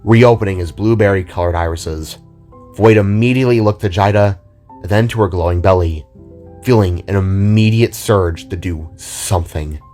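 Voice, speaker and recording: A man's voice: deep voice, moderate pace, darkish tone. A man reading scripted narration for an audio drama. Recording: music under the voice. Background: music.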